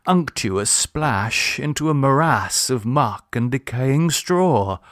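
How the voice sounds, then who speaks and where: A man reads classic literary fiction as an audiobook narrator. His medium-pitched voice is measured and expressive, with formal storytelling phrasing. The recording is close and dry. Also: no echo.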